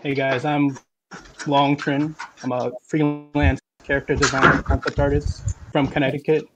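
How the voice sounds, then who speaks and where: A man introducing himself as a freelance character designer on a video call, with brief low-key answers. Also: flat delivery, moderate pace, very noisy.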